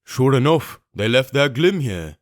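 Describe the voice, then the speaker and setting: A rough pirate shouting out a window in salty sailor's talk, raised a little above a plain voice. A man puts him on in a dry, close reading. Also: mid-range voice, big pitch swings, unhurried, dry recording. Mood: alarmed. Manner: gruff sailor's slang, calling out. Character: rough male pirate searching an inn.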